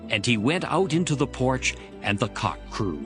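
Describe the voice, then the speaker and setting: A man's voice: medium pitch, animated, moderate pace, music in the background. A man narrating dramatized scripture as a storyteller between the character parts.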